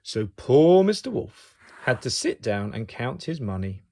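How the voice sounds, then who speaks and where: A man reading a picture book aloud to young children as an expressive storyteller. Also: medium pitch, a little slow.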